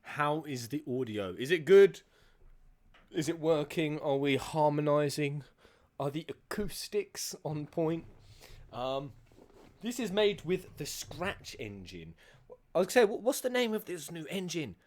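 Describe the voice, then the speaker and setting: A man hosting a reaction video, slangy and excitable, talking to viewers over game audio. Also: fairly high pitch, a little slow. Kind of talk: casual live reaction commentary.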